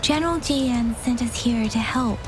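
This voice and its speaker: An actress playing an earnest, gentle young female military outrider in a game. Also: fairly high pitch, normal speed, music in the background, lightly acted. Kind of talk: dramatic video game acting.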